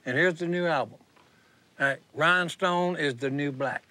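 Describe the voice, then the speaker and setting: High-voiced excitable older man. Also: moderate pace, no room sound. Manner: excitable, rambling storyteller. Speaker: reality show cast member. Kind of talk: casual banter.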